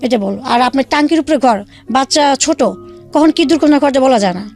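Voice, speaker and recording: High-voiced young woman, speech. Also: animated, darkish tone, faint music behind. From Bangladesh. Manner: halting, many fillers and restarts, re-enacts dialogue. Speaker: interview guest telling her life story. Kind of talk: casual spoken storytelling.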